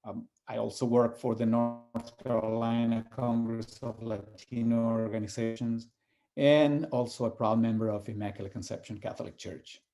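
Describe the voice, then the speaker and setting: A man from El Salvador, giving an earnest, measured reflection and reading aloud with pauses. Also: mid-range voice, level tone, warm tone. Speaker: community organizer sharing a reflection.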